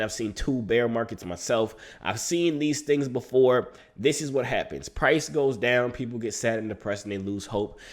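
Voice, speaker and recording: A young man with a stuffy, congested voice talking straight to his viewers in a casual, joking, hyped-up vlog style. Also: mid-range voice. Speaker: crypto YouTuber.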